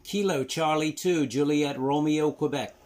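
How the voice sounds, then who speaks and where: A man hosting an amateur radio vlog, talking casually and giving deliberate on-air calls. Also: a little high. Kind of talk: casual radio commentary and on-air call-signs. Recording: very noisy, radio static and hiss. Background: loud ham radio noise.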